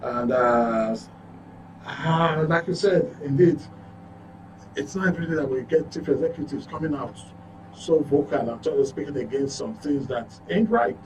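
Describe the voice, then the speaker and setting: A Nigerian man hosting a current affairs discussion in a halting, hesitant manner. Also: on the high side. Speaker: radio and TV show host. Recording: dull, distant, echoey room with hum.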